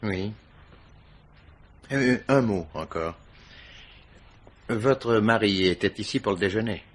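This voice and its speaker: An actor playing a patient, insistent police commissioner in a French radio mystery, questioning in short, probing phrases. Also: male voice, lowish voice, animated, takes it slowly. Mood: methodical.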